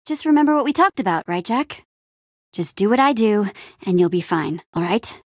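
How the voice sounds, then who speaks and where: A woman playing a plucky, bossy but encouraging young adventurer heroine in a video game, with an expressive, casual, peppy delivery. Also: mid-range voice, normal speed, no room sound, clean studio sound, natural voice. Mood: reassuring. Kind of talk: animated adventure game dialogue.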